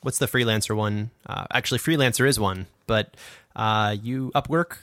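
A man's voice: lowish voice, normal speed, fairly bright tone, no echo, studio quality. A man co-hosting a casual podcast, offering informal tips and thinking aloud as he talks. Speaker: podcast co-host and audio drama producer. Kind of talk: casual conversational advice with a co-host.

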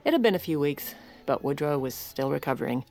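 A woman's voice: sits low, lively intonation, fairly quick, creaky, a little mellow, faint music behind. An actress playing a wry, exasperated First Lady who confides her story in comedic audio fiction with a dry manner. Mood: dry, confiding. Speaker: narrator and lead character.

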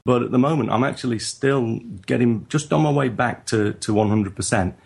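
A man with a northern English accent, talking in a halting, reflective way as a guest in a casual interview. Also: medium pitch, vocal fry, husky. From northern England. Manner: halting, reflective, frequent restarts. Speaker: guest photographer.

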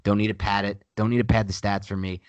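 A man's voice: lowish voice, little pitch movement, quick pace, a little mellow, dry recording, muffled recording. A man co-hosting a casual sports podcast, with a nasal voice and an emphatic way of repeating his points. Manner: emphatic, repeats himself when pressing a point. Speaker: podcast co-host.

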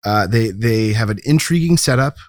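A man's voice: lowish voice, expressive, moderate pace, darkish tone, no echo, studio quality. A man co-hosting a podcast, making his case about movies in casual, emphatic, opinionated talk. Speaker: podcast co-host. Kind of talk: casual film commentary, arguing a case.

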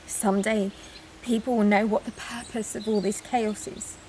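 A woman's voice: mid-range voice, flat delivery, moderate pace, warm tone, slight background noise, close to an everyday voice. A woman acting in an audio drama as an earnest, self-sacrificing woman, solemn and declamatory. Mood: solemn, resolute. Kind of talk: acted audio drama monologue.

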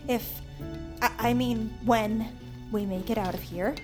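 Actress as a higher-voiced, earnest young monster fighter. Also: female voice, steady tone, a little slow, over music, close to an everyday voice. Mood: nervous, tender. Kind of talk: audio drama acting.

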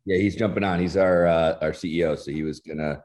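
A man's voice: low voice, fairly even intonation, brisk, darkish tone. A middle-aged man, a guest on a podcast, chatting in a laid-back, self-deprecating way with many fillers. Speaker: guest, insurance executive. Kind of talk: casual interview chat.

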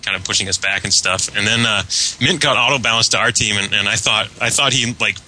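A man chatting casually on a podcast about online gaming, telling things in a rambling, halting way. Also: on the low side, bright, forceful tone, slight background noise, low-quality recording. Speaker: podcast panelist.

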